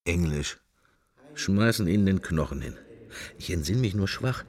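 A man's voice: low-pitched, lively intonation, normal speed, darkish tone. An actor playing a wry, sceptical middle-aged man murmuring his fragmentary private thoughts in a radio drama. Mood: sceptical musing. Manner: murmured, fragmentary, associative musing. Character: musing middle-aged man, wry, sceptical, worldly, silently observing church. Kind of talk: radio drama interior monologue.

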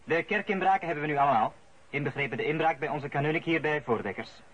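An actor playing a methodical, coolly goading police interrogator with a Flemish accent in a radio play. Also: male voice, on the high side, quick pace, a bit bright, natural voice. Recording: dull radio play, faint noise.

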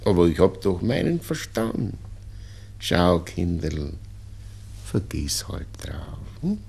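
An anxious old Viennese father in a 1960s radio play. The voice is low and gravelly, swinging widely in pitch. He pleads slowly and tenderly, stumbling and repeating himself. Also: sing-song, darkish tone, full character voice. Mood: flustered, soothing. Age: older. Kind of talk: 1960s German-language radio drama acting.

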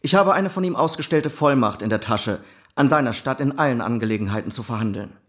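An actor playing a polite but firm gentleman lawyer in a radio play, formal and measured. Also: medium pitch, animated, natural voice.

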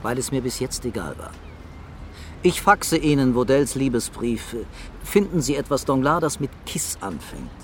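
An actor playing a softly stubborn, intuitive police commissioner in a German radio crime drama, insistent yet understated. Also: male voice, medium pitch, lively intonation, normal speed, slight background noise, lightly acted.

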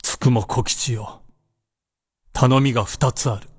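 An actor in a Japanese radio drama playing an imperious, fearless samurai warlord with a commanding, theatrical delivery. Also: male voice, medium pitch, steady tone, takes it slowly, husky, dry recording, clean studio sound. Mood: defiant, grimly amused.

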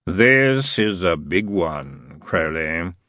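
A man voicing a dread male demon lord who speaks slowly and threateningly. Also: low voice, expressive, pushed and bright, dry recording, studio quality, full character voice. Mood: ominous. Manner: very slow, solemn, threatening.

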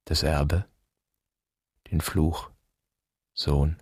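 A man's voice: level tone, extremely slow, no room sound, clean studio sound, exaggerated voice. An actor playing a grave, bitter man pondering his dead father in a questioning radio drama monologue. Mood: doubting. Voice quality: husky, very deep.

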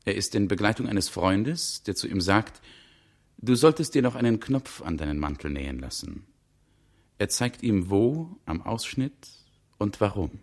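An actor playing a detached narrator in a 1960s radio drama, reporting in terse, clipped notes. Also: male voice, lowish voice, lively intonation, measured pace. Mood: detached, matter-of-fact. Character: detached male narrator reporting a petty bus incident in notes.

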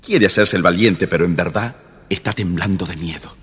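A man's voice: low voice, normal speed, fairly bright tone, slightly rough. A man with an Argentine accent narrating a scripted children's story. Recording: long reverb, faint music behind.

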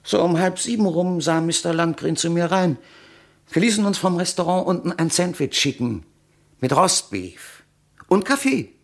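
An actor playing a guarded, testy office employee in a radio crime drama, curt and defensive. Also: male voice, sits high, lively intonation, normal speed.

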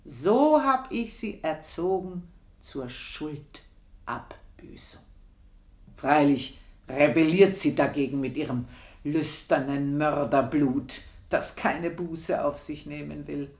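An older actress playing an old, scheming maidservant in a radio drama, brooding and intense, dropping into whispers. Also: female voice, deep voice, takes it slowly, husky, full character voice. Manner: brooding, intense, shifts between whispers and outbursts. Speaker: old maid narrating her life. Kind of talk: 1980s radio drama acting.

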